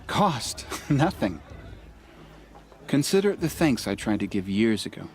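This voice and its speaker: A man's voice: lowish voice, very animated, a little slow, faint music behind. Friendly, humble young man. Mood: friendly, earnest. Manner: friendly, earnest, self-deprecating. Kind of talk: video game voice acting.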